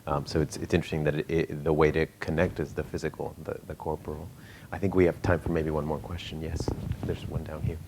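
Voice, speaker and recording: A man with an extremely deep voice moderates a live discussion. He talks in a halting, searching way with repeated words, and there is faint noise behind him. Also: flat delivery. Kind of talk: hosting a live conversation.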